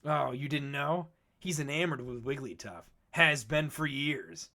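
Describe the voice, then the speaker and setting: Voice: medium pitch, animated, a little slow, sharp tone. A voice actor playing a brash, cynical veteran Pokemon explorer in a comic dub, talking in a cocky, slangy, needling way. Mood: sly, knowing. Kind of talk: animated comic dub acting.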